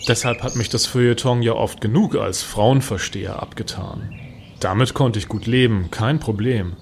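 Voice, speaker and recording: A man's voice: medium pitch, noisy background. An actor playing a self-righteous state informer in his late thirties in a satirical radio play, articulate and smug as he justifies himself. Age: late 30s.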